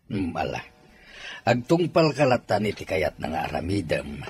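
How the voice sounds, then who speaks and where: A man's voice: sits low, very animated, normal speed, a little mellow. A man playing a weary, forbearing household master with a Filipino accent, earnest and pleading in a melodrama. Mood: resigned. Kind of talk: melodramatic audio drama acting. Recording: music behind the voice.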